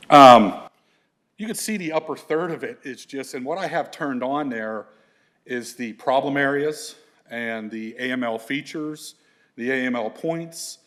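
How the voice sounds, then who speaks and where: A middle-aged man with a big, full voice gives a slide talk to a room of colleagues. His speech is loose and conversational, full of fillers, restarts and asides. He sits at an everyday male pitch. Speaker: environmental consultant presenting to an audience. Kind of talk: conference slide presentation.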